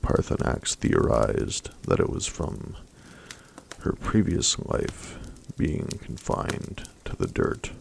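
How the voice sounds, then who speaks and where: A man reading a story aloud as soft-spoken ASMR, in a breathy, hushed voice that often drops to a whisper. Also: a bass voice, big pitch swings, slow pace, slight background noise.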